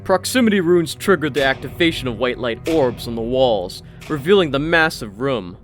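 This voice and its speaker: A man reads a scripted story as an audiobook narrator. His voice sits at an average male pitch. Music plays clearly behind him throughout. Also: expressive, moderate pace. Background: background music.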